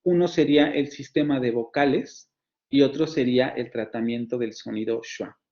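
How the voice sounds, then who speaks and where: A man's voice: medium pitch, steady tone, moderate pace, a little mellow. A man with a Mexican Spanish accent, a university phonetics professor giving an online lecture, explaining with frequent fillers, restarts and rhetorical questions. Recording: dull lo-fi sound.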